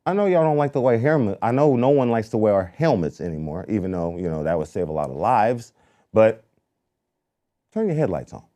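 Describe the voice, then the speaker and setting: Laid-back man with a low, mellow voice. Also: a little fast. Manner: loose, animated storytelling with slang. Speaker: podcast co-host. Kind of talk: casual chat.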